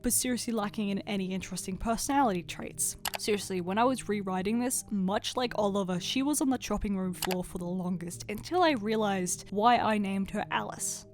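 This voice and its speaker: A young woman with a mid-pitched voice talks through scripted commentary in a casual way. Her delivery is chatty and informal, with a self-deprecating streak, like an online artist presenting their own work. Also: brisk, few pauses. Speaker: artist and video creator.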